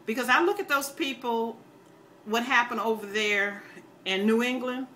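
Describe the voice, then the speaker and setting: An older American woman talks casually to her online viewers. Her voice is bright and forceful, and her manner is folksy, opinionated and plain-spoken. Some background noise sits behind her. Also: on the high side, moderate pace. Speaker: video blogger. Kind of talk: casual rambling chat to viewers.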